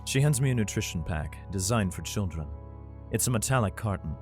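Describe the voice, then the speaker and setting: A man's voice: fairly low pitch, expressive, fairly quick, natural voice. A man playing a worried, protective father who narrates his flight from war in an audio drama. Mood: somber, reflective. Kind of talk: first-person audio drama narration and dialogue. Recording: loud music bed behind voice.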